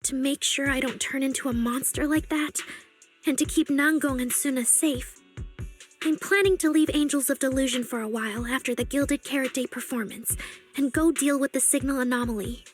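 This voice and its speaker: A woman's voice: sits high, normal speed, faint music behind, close to an everyday voice. A woman voicing an earnest, anxious young female android idol singer in a video game, speaking hesitantly. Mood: troubled, resolved. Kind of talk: scripted video game voice acting.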